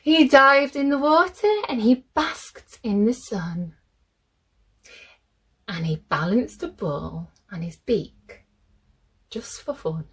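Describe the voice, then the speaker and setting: Animated, high-voiced woman reading a storybook. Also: breathy edge. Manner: animated, deliberate, addressing young listeners directly. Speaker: storyteller reading picture books aloud to children. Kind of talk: expressive children's read-aloud.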